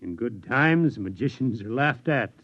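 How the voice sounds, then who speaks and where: A man's voice: medium pitch, expressive, measured pace, no room sound. An actor in a 1950s radio drama playing a weary older male scientist who speaks reflectively and ruefully. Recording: dull old radio recording.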